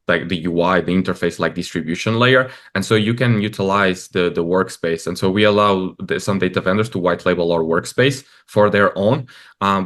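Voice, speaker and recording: A man's voice: low-pitched, fairly bright tone. A man from Portugal, a startup founder, talking loosely in an interview, with frequent fillers. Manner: loose and conversational, many fillers like "like" and "uh". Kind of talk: casual interview talk.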